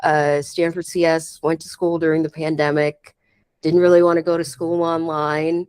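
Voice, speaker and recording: A woman in her twenties from Pittsburgh chats casually as an interview guest. Her voice is low for a woman, and it is recorded dry, with little room sound. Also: flat delivery, normal speed, darkish tone, clear, resonant tone, dry recording. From Pittsburgh, United States. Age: 20s. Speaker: guest, software engineer and founder. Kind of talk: casual introduction in an online interview.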